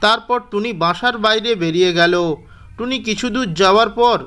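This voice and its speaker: A man's voice: high voice, fairly quick, pushed and bright, smooth. A man narrating a scripted children's cartoon story as its storyteller.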